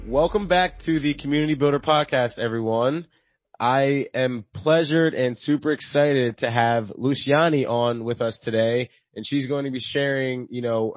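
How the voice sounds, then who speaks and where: A man's voice: mid-range voice, dry recording, lo-fi sound. A man hosting an interview podcast, upbeat and enthusiastic, with frequent fillers. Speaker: podcast host.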